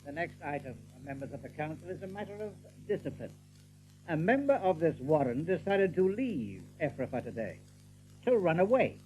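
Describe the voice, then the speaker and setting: Coolly menacing, commanding rabbit general. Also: male voice, fairly high pitch, very animated, a little mellow, faint music behind, rough recording. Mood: coolly formal. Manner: measured, formal, authoritative. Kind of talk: dramatised audiobook acting.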